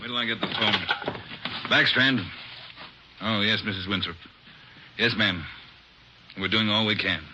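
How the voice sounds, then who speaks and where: A man's voice: lowish voice, steady tone, a little slow, pauses often, lo-fi sound, background noise, close to an everyday voice. A man playing a brusque, commanding chief of detectives in an old radio drama. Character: gruff male chief of detectives. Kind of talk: 1950s radio drama acting.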